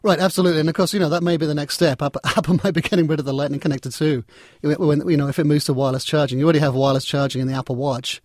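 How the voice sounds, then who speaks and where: A man's voice: a little high, steady tone, racing, darkish tone, dry recording, clean studio sound. A man talking as an interview guest in a conversational, discursive way, often repeating and reworking his words. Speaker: interview guest, technology writer and editor.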